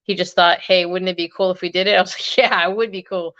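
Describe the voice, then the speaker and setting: A woman's voice: on the low side, steady tone, quick pace, fairly bright tone, lo-fi sound. A woman whose first language is Arabic, chatting casually on a live stream and rambling through fillers and small laughs. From United States, Egyptian family. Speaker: guest fragrance creator.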